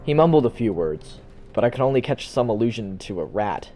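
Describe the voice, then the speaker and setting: Man playing low, mellow, guarded young witness. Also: very animated, soft background music. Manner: formal, halting. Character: grieving young son testifying, guarded and earnest. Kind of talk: amateur audio drama acting.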